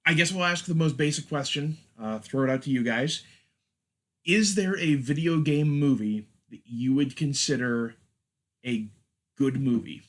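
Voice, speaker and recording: A man's voice: medium pitch, a little slow. A man hosting a casual film chat, with a wry, joking manner. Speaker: podcast host.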